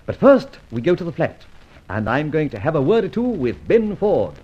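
An actor playing a debonair, flippant gentleman adventurer in an old radio drama, self-assured, with urbane, wordy phrasing. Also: fairly high pitch, very animated, moderate pace. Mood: breezy, amused. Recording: muffled old radio transcription recording.

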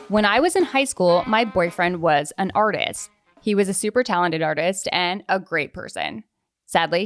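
A woman podcast host talks in a casual, conversational way at a middling pitch. She moves easily between reading and chatting. The sound is close and dry, with little room to it. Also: dry recording. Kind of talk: casual advice podcast chat, reading out a listener question.

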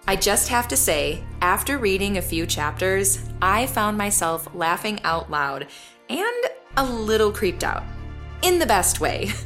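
A woman's voice: mid-range voice, sing-song, measured pace, a bit bright. Woman narrating a podcast over music. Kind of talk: scripted narration.

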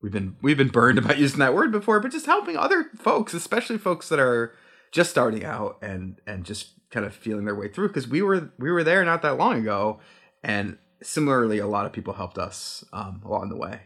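A man's voice: medium pitch, fairly quick. A man talking casually as a podcast guest about sound design, rambling a little with many restarts. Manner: relaxed, rambling with frequent restarts. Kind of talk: casual chat.

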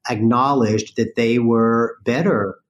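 A man's voice: medium pitch, steady tone, slow pace, muffled recording. A man from North Carolina with a Southern accent, talking earnestly as an interview guest. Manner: earnest, thoughtful, many fillers. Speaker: guest, farm business owner. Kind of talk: conversational interview.

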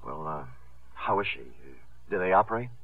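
An actor playing an earnest, smitten vagabond promoter in a 1950s radio drama, speaking tenderly and haltingly. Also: male voice, low-pitched, expressive, dry recording. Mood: anxious. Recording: tinny 1950s radio recording with music.

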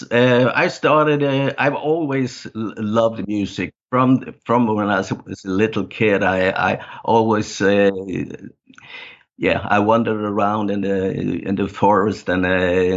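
A man's voice: lowish voice, dull audio. A middle-aged man from Denmark, a native Danish speaker, talking in a casual interview with many fillers and restarts. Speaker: guest.

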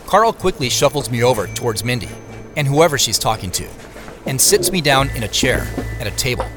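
A man's voice: medium pitch, lively intonation, fairly quick, over music, close to an everyday voice. A man acting in an audio drama as a wary, uneasy protagonist who also narrates the story. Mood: uneasy narrating.

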